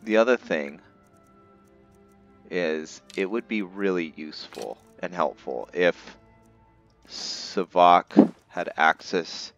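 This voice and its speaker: A man's voice: sits low, takes it slowly, pauses often, a bit bright. A man hosting a roleplay gaming session, giving commentary on his character as he plays. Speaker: gaming roleplay host and player. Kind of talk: slow roleplay gameplay commentary. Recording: dull muffled mic with faint music.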